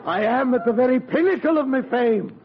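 A man's voice: very high-pitched, animated, a little fast, a crowd in the background. An actor playing a vain, womanizing old-school thespian in 1940s radio comedy style, plummy, pompous and declamatory. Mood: grandly declaiming. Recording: muffled, noisy old radio recording.

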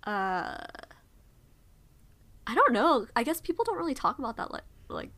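A woman's voice: fairly high pitch, sing-song, moderate pace, a bit bright. A young adult woman leading a casual conversation, telling a memory in a chatty, reflective way and asking her friends follow-up questions. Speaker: discussion leader.

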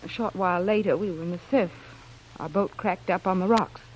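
A woman's voice: fairly low pitch, fairly quick, a little hiss or hum, close to an everyday voice, poor audio. An actress playing a sweet, youthful castaway widow with a ladylike, wistful manner in a classic radio drama. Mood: wistful, poised.

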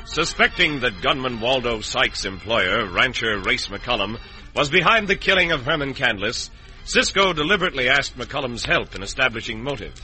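A man's voice: medium pitch, sing-song, moderate pace, over music, lightly acted, rough recording. A man with an American accent narrating as a dramatic old-time radio announcer, reading scripted story recaps. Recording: vintage radio broadcast recording.

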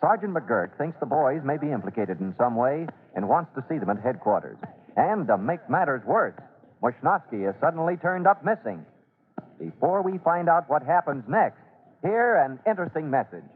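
A man's voice: fairly high pitch, very animated, moderate pace. An American man narrating a vintage radio serial as announcer in a dramatic, declamatory manner. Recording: tinny, noisy vintage radio recording.

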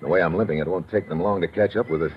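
A man's voice: sits low, very fast, a little hiss or hum, close to an everyday voice. A man playing a tough, weary American adventurer in an old radio drama, clipped and slangy as he narrates and gives orders. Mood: urgent. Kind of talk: old-time radio adventure acting, hard-boiled narration. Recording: dull vintage radio recording, music behind.

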